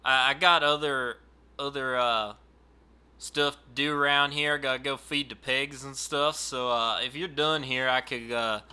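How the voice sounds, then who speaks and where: A man playing a dim rural customer service rep on the phone, speaking in a put-on Southern drawl with a slow, stammering, folksy manner. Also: medium pitch, steady tone, bright, forceful tone, clean tone.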